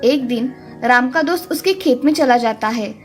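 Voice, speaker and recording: A woman's voice: high voice, normal speed. A woman reading a scripted children's story as its narrator. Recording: music under the voice.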